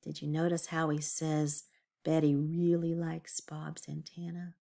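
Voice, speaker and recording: Female storybook reader for young children: animated, slow-paced, expressive, with confiding asides. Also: lowish voice, vocal fry. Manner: animated, with emphatic drawn-out words and confiding asides. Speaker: children's read-aloud teacher. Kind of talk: expressive picture-book read-aloud with questions for young children.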